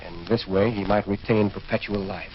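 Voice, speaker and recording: An actor playing a sober, sceptical physician, speaking gravely and firmly in an old radio horror drama. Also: male voice, fairly low pitch, steady tone, natural voice. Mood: grave, foreboding. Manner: grave, measured, firm. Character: sober, sceptical male physician recounting a haunting. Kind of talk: 1940s radio drama acting. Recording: muffled, noisy old radio recording.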